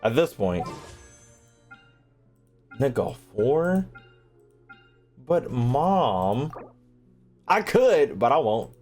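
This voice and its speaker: A man with a middling pitch gives casual livestream commentary on a game. He talks straight to his viewers in loose slang, swearing and joking freely, while game music plays behind him. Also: sing-song, very slow, long pauses, darkish tone. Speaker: game streamer.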